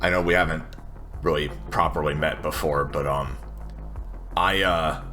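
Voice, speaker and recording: A man voicing a guarded, hesitant woman who talks haltingly in a tabletop game. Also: very deep voice, steady tone, bright, forceful tone, faint music behind, exaggerated voice. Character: guarded, hesitant tech-savvy woman seeking help.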